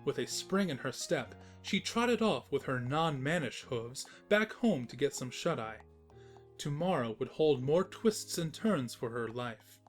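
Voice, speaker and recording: A man playing a teasing, sentimental, gentlemanly storybook narrator in a fan fiction audio drama. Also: medium pitch, animated, a little slow, faint music behind, close to an everyday voice. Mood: warmly wry.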